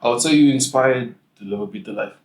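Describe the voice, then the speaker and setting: A young man from Africa, a guest in a casual interview, answering in a relaxed way. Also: medium pitch, quick pace, slight background noise. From South Africa. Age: young adult. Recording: echoey room.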